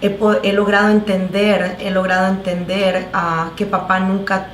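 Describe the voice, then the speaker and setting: An earnest woman pastor with a Salvadoran Spanish accent tells her story, stopping and restarting as she goes. Her voice sits at an ordinary middle pitch. The sound is echoey, with faint noise behind her. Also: level tone. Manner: earnest, halting, many fillers and restarts. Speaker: pastor, guest. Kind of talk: testimony in a talk show.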